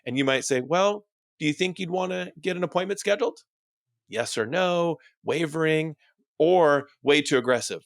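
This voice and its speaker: A man's voice: a little high, moderate pace, no echo, studio quality. A man giving a motivational coaching talk as host, instructing with emphasis and rhetorical questions. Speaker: dental practice coach and host.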